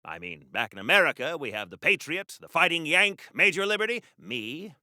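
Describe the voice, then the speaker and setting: A pompous 1940s superhero for a video game, all earnest patriotism and old radio-serial bombast. His voice is high and bright, swooping theatrically through every declaration in crisp, old-fashioned hero diction. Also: big pitch swings, normal speed, no room sound, studio quality, exaggerated voice. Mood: chatty, condescending.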